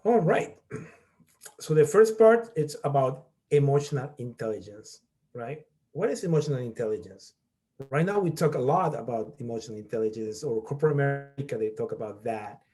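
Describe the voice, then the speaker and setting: A man's voice: mid-range voice, animated, normal speed, mellow. A middle-aged man from Peru who leads a workshop as a college professor, explaining step by step and often checking in with "okay?". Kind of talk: online workshop presentation.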